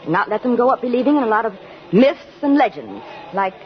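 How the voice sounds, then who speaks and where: A woman's voice: fairly high pitch, big pitch swings, quick pace. An actress playing a polite, practical, no-nonsense mother in a 1950s radio drama, speaking with proper courtesy. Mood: firm. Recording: dull vintage radio, music and crowd behind.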